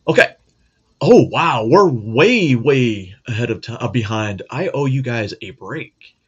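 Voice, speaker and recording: A man's voice: medium pitch, lively intonation, measured pace, slightly rough, lo-fi sound. A middle-aged man hosting a casual monologue, blunt, profane and joking. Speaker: host.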